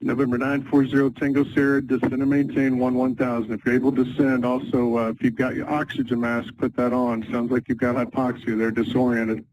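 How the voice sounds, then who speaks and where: A man working as an air traffic controller, calmly giving procedural instructions and repeating them over an aviation radio. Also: mid-range voice, fairly quick, few pauses. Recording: narrow, noisy aviation radio transmission.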